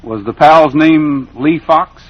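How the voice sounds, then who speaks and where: An actor playing a steady, fair-minded cowboy lawman in an old western radio show, speaking firmly and straightforwardly. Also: on the high side, takes it slowly. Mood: calm, probing. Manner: firm, straightforward. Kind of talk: 1940s-50s western radio acting. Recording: dull, hissy old radio recording.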